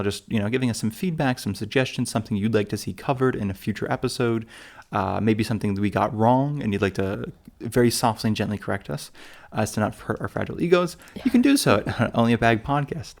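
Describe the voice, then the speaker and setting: A man's voice: fairly low pitch, animated, quick pace. A man co-hosting a travel podcast in casual chat, rambling and joking at his own expense. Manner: rambling, self-mocking, full of fillers and restarts. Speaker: podcast co-host.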